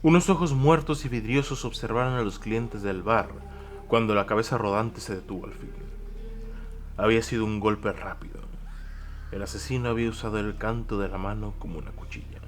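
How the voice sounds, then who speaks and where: A man giving solemn, deliberate scripted narration of a dark audio drama. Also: lowish voice, fairly even intonation, faint music behind. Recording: clear noise and ambience behind voice.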